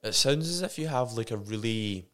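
A man's voice: medium pitch, lively intonation, moderate pace, fairly bright tone. A man hosting a podcast, asking questions in a friendly, enthusiastic way. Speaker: podcast host. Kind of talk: casual interview hosting.

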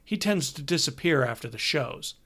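A man's voice: fairly high pitch, lively intonation, moderate pace, breathy edge, exaggerated voice. A man doing a hot-tempered young rocker. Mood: exasperated. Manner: sharp, exasperated. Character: hot-tempered young male rock musician.

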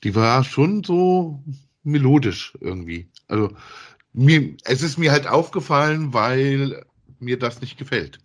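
A man's voice: medium pitch, lively intonation, measured pace. A man co-hosting a podcast, chatting casually in a rambling, repetitive way as he reviews. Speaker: podcast co-host.

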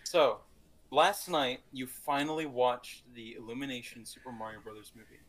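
A man's voice: medium pitch, moderate pace, a bit bright. A man co-hosting a podcast, chatting casually and playfully about movies with an enthusiastic manner. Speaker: podcast co-host.

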